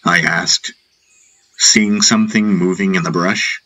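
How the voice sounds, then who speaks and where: A man narrating a fantasy audiobook in a measured, dramatic storytelling style. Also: low voice, fairly even intonation, sharp tone, rough recording.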